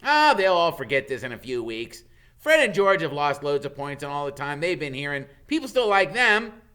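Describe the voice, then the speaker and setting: A man voicing an eager, blunt schoolboy friend in an animated, informal way. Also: a little high, normal speed, clean tone, voice pushed up. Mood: reassuring.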